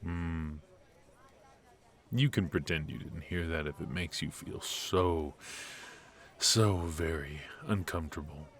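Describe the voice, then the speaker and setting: A man's voice: very deep voice, slow, long pauses. A man playing a cocky, ruthless and protective mafia boss in a noir ASMR roleplay, with an intimate, teasing delivery. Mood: flirty, sly. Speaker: voice actor.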